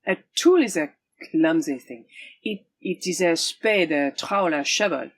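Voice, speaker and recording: A woman's voice: sits low, lively intonation, measured pace, husky, heavily acted. A woman playing a proud, teasing swashbuckling swordswoman in an audio drama, with a theatrical, imperious manner. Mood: stern, exasperated. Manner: theatrical, formal phrasing, imperious.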